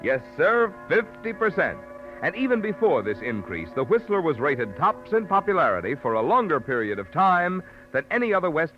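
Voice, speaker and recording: Old time radio announcer guy, emphatic sales pitch, pitch all over, dull recording. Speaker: announcer, sponsor spokesman.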